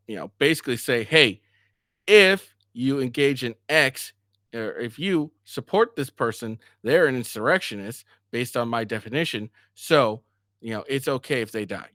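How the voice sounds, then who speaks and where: A man's voice: mid-range voice, expressive, a little slow, a bit bright, no room sound, clean studio sound. A man hosting a commentary video, casually mocking a debate clip with plenty of filler words. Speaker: commentary channel host.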